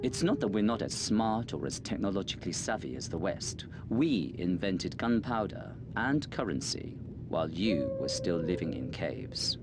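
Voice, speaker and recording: An actor playing a guarded middle-aged intelligence colonel in a video game, with a formal, measured delivery. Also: male voice, low-pitched, very animated, background noise. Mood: grave, persuasive. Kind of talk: dramatic video game dialogue.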